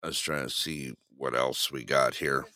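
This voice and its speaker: A man's voice: extremely low pitch, fairly even intonation, normal speed, sharp tone, dry recording, clean studio sound. A man hosting a casual live-stream show, rambling, chuckling and reacting to viewer chat. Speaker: host.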